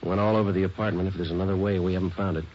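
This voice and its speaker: An actor playing a terse, matter-of-fact police sergeant in a 1940s radio drama, speaking in clipped, deadpan sentences. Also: male voice, low-pitched, rapid-fire, slight background noise, close to an everyday voice. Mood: businesslike. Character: terse, businesslike male police sergeant narrating his case. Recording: muffled vintage 1940s radio recording.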